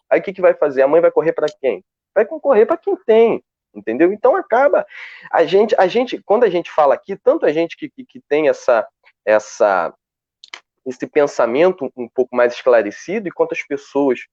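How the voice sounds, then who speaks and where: A man speaking on a live video call, talking in a lively way with many restarts as he searches for words. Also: fairly high pitch, no room sound. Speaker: guest researcher. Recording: dull, dry lo-fi call audio.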